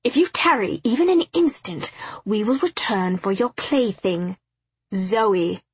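A woman's voice: mid-range voice, big pitch swings, unhurried, slightly rough, no room sound, exaggerated voice. A woman voicing a cruel, pompous male underworld lord in a storybook, with theatrical, mocking, formal speech. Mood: gloating menace.

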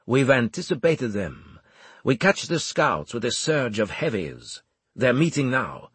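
A man's voice: medium pitch, sing-song, measured pace, breathy edge, no echo, exaggerated voice. A man voicing a proud, theatrical military commander in an audiobook, with florid, mocking formal speech. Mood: confident. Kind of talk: audiobook character acting.

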